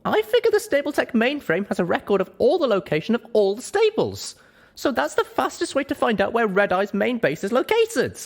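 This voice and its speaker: A man voicing an earnest, anxious and determined young female pony heroine in an expressive story reading. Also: very high-pitched, a little fast, gravelly, pitched above the performer's own voice, full character voice. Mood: urgent, determined. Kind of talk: expressive audiobook character reading.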